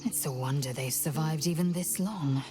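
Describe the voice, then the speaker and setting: A woman's voice: sits low, normal speed, over music, close to an everyday voice. An actress playing a haughty female warrior who speaks in a clipped, scornful way.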